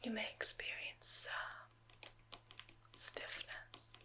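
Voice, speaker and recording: Woman whispering as a forest witch, hushed ASMR whisper, very slow drawn-out words, bright airy tone, murmured hums. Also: exaggerated voice. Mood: hushed, soothing. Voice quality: whispering, unvoiced. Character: soft-spoken, quietly sinister female forest witch healer. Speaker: ASMR roleplay creator.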